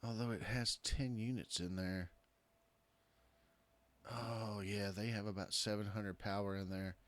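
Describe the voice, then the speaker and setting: A man with a lowish, hoarse voice talks through his moves in a strategy game. He thinks aloud, trailing off and correcting himself as he weighs his options. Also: fairly even intonation, a little slow, fairly bright tone. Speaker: gameplay commentator. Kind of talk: casual let's-play commentary.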